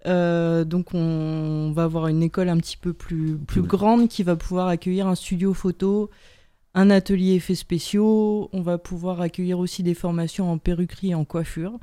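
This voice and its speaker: A woman guest on a radio chat, talking upbeat about her school, pausing often with "euh". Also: fairly low pitch, level tone, mellow, clean tone, dry recording. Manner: hesitant, many "euh" fillers, upbeat. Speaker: studio guest, school founder. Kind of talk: casual interview chat.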